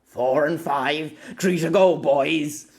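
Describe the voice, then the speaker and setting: A man playing a brash swordsman nearly sixty, taunting police in a gruff voice. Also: on the high side, slow, pitched above the performer's own voice. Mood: roaring.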